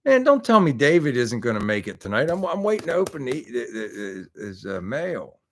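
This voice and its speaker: A man's voice: mid-range voice, very animated, normal speed, fairly bright tone, slight background noise. A man in his fifties hosting a car hobby livestream, chatting casually and rambling through answers to viewer questions with lots of restarts. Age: 50s. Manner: rambling, many restarts and fillers.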